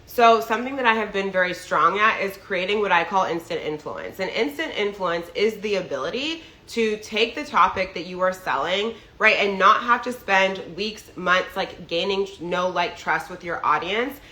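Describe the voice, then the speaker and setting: A woman's voice: medium pitch, bright, forceful tone, echoey room. A young adult woman getting over a cold and sounding a bit nasal, talking casually as a business coach. She chats in a loose way, with lots of "like" and "right?". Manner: chatty, filler-heavy, often asks "right?". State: recovering from a cold, slightly nasal. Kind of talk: casual monologue to followers. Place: New York.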